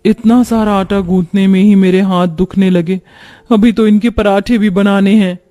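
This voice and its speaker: A young new bride in a cartoon story, voiced by a man, with a high, plaintive voice. She is dutiful but given to grumbling about housework. Music sits faintly underneath. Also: fairly even intonation, moderate pace, dark tone, close to an everyday voice. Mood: weary complaining.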